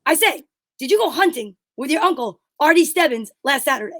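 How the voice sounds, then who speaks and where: A bossy, exasperated straight man from an old radio comedy duo , acted by a woman. The voice is high and sharp, firing incredulous questions and scolding a foolish partner. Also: animated, fairly quick. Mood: impatient.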